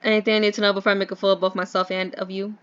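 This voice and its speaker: Woman as a blunt, deadpan sarcastic sidekick. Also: medium pitch, racing, smooth, muffled recording, lightly acted. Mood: blunt, wry. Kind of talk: comic audio drama acting.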